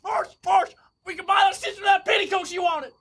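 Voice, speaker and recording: A man playing a dim-witted, greedy bandit in an audio drama, excitable and brash, with a rural American accent. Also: very high-pitched, fairly quick, shouting. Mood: greedy excitement. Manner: excitable, folksy.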